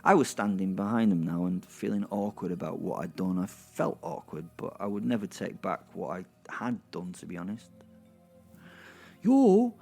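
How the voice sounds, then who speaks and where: A man's voice: deep voice, normal speed, vocal fry, few pauses, faint music behind. A man narrating a dramatic story in the first person, conversational and wry, with rambling, chatty asides. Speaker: narrator and host.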